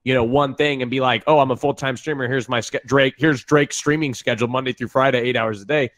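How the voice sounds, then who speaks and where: A man's voice: medium pitch, fairly even intonation, fast talker, a bit bright. A man in his twenties chatting as a streamer guest, laid-back and hedging with fillers. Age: 20s. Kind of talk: casual group chat.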